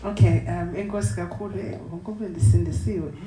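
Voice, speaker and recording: A woman's voice: lowish voice, steady tone, quick pace. A woman hosting a casual podcast panel, playfully switching between Xhosa and English and laughing often. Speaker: podcast host. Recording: echoey room, faint noise.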